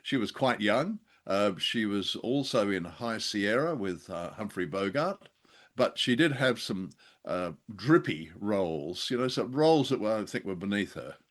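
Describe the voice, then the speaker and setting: Older male co-host, low-pitched, effusive and exclamatory, for casual review chat. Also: animated, unhurried. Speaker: co-host of an old-time radio review show.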